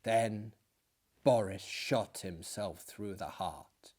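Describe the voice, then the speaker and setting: A man voicing a weary, haunted friend in a dramatic storybook reading, speaking gravely and deliberately. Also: fairly low pitch, a little mellow. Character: weary, haunted male friend recounting deaths.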